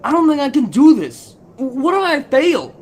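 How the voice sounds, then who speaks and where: Man voicing nervous young pony, lively pitch. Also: normal speed. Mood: anxious. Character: nervous, self-doubting young male pegasus pony, gentle, sarcastic. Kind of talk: dramatized fan fiction reading.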